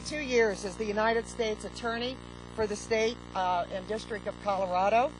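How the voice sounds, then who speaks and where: A woman's voice: fairly high pitch, noisy background. Woman giving a gracious outdoor ceremony welcome. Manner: measured, gracious, with occasional fillers. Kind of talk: formal outdoor ceremony speech. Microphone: podium microphone with PA.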